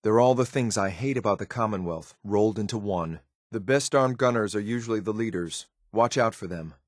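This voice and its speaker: A man's voice: on the low side, brisk, no echo, studio quality, lightly acted. A man voicing a stern, dutiful synth soldier companion in a video game, speaking in a clipped, matter-of-fact military way with some disdain. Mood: contemptuous.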